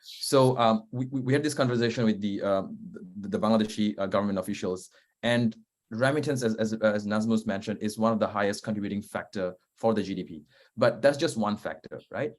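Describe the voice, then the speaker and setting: Earnest young man, low voice. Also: fairly quick. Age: young adult. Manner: earnest, with many hesitations. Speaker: startup founder. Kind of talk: webinar panel answer. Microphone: video call.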